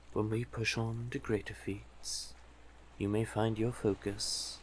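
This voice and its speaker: A man's voice: fairly low pitch, darkish tone, slight background noise. A man playing a cursed, tentacled male sea witch in an audio roleplay, coaxing and stately, doting yet commanding. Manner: coaxing, stately, elaborately worded. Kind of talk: scripted audio roleplay addressed to the listener. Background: ambient sound effects.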